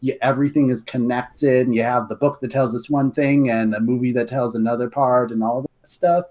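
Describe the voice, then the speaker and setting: A young man with a deep voice chats as a podcast guest. He tells his stories eagerly, stumbling over restarts and fillers along the way. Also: steady tone, brisk, darkish tone. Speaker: guest, lore writer. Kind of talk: casual podcast chat.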